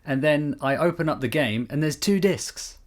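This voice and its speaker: A man co-hosting a casual chat show, telling stories in a relaxed way with frequent chuckles and small hesitations. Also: on the high side, normal speed. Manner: relaxed storyteller, chuckles often, mild hesitations. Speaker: podcast co-host.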